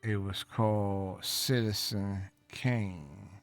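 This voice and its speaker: A man reads scripture at an extremely unhurried pace and adds his own commentary. His voice sits slightly low. He breaks sentences into short, deliberate pieces with long gaps between them. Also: fairly even intonation, faint music behind. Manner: deliberate, halting phrasing with long pauses. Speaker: religious reader and commentator. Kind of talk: slow scripture read-along with commentary.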